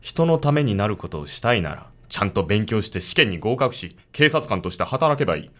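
A man's voice: fairly low pitch, very animated, brisk, fairly bright tone. A man playing an arrogant, stiffly formal police detective and father in a radio drama, talking down to people. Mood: scornful.

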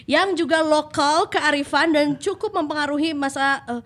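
High-pitched jokey Jakarta woman. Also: moderate pace, a bit bright. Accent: Jakarta Indonesian. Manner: jokey, slangy. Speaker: podcast co-host. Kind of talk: casual chat.

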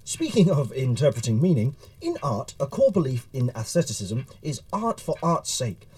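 An actor playing a courteous, earnest, easily flustered Victorian gentleman writer who conducts interviews in an audio drama. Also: mid-range voice, wildly swooping pitch, normal speed. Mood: earnest, inquiring. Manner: courteous, hesitant, bookish.

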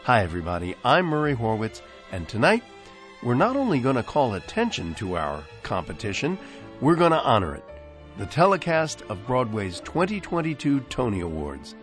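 A man's voice: medium pitch, huge pitch swings, moderate pace, soft background music. An American man hosting a radio show with a scripted but conversational introduction and playful, theatrical emphasis.

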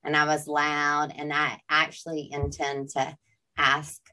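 A woman's voice: low-pitched, monotone, unhurried, bright, forceful tone. A woman in her thirties talking in a reflective, candid way in a casual conversation.

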